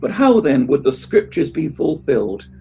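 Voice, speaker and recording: A man playing Jesus as a calm, unyielding teacher in a dramatised scripture reading, with a husky voice. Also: on the high side, moderate pace, faint music behind. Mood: firm reproach.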